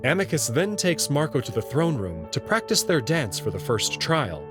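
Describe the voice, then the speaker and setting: A man reading scripted, expressive first-person narration as the narrator of a visual novel. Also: fairly high pitch, normal speed, music in the background.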